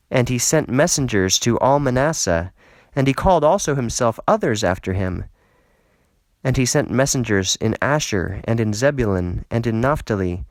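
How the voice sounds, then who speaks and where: A man reads scripture in a solemn, dramatic style. His delivery is slow and deliberate, with wide melodic swings, and the sound is dry and close. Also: on the low side, no echo. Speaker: Bible reader.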